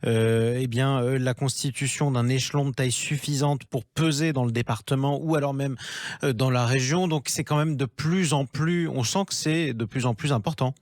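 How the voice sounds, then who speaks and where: A man's voice: mid-range voice, normal speed, a little mellow, dry recording, studio quality. A man interviewing a guest on radio in a conversational, questioning style. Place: dry radio studio.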